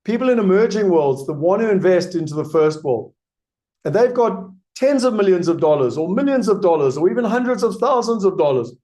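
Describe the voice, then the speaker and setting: A man's voice: on the high side, warm tone. A man with a South African accent hosting a live webinar, answering audience questions in an emphatic way that repeats key points. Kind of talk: live webinar Q&A sales pitch.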